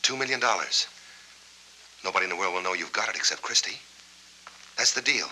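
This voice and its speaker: An American actor in a 1940s film noir, playing a cornered, defiant war veteran who bargains insistently in clipped, hard-boiled lines. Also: male voice, deep voice, a little fast, pushed and bright, breathy edge, full character voice, rough recording. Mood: urgent, bargaining.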